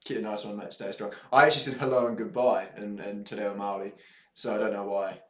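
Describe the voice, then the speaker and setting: Joking New man, low voice. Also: flat delivery, fast talker, fairly bright tone. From New Zealand. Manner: jokey, rambling banter with Kiwi slang. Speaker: co-host, race commentator. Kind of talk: casual sports chat on a stream. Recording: roomy echo.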